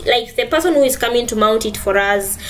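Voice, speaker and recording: A woman's voice: a little high, brisk. A woman with a Kenyan accent, vlogging casually on her phone in her living room, chatty and saying "guys" and "yeah" a lot. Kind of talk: casual vlog narration.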